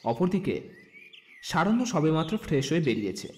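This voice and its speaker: A man's voice: fairly high pitch, very animated, moderate pace, darkish tone. A man narrating a scripted audio story.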